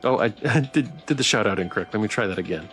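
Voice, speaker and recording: A man's voice: lowish voice, expressive, fast, fairly bright tone. A man streaming a game live, reading its story text and chat aloud with music behind him.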